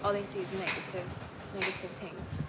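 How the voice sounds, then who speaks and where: A woman's voice: medium pitch, level tone, a little fast. A young woman with a Trinidadian accent, chatting casually to her live viewers with lots of fillers and restarts. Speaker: vlogger. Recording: loud background noise.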